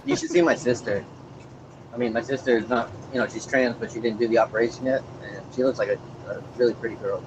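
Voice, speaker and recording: A man chatting on a podcast, quickly chiming in from off to the side. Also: medium pitch. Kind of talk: casual podcast chat. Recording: dull, noisy, distant line.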